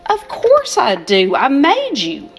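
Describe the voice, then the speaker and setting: An older woman voicing a kind old bearded woodcarver who speaks gently and reassuringly. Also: high voice, huge pitch swings, slow pace, faint music behind, pitched above the performer's own voice, exaggerated voice.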